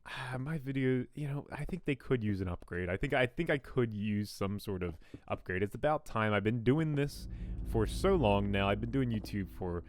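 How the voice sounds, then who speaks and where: A young man with a fairly deep radio-style voice, chatting casually and rambling with self-deprecating asides while he builds in a game. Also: expressive, moderate pace. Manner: rambling, self-deprecating, frequent ums and restarts. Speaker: gaming YouTuber. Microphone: new studio condenser mic.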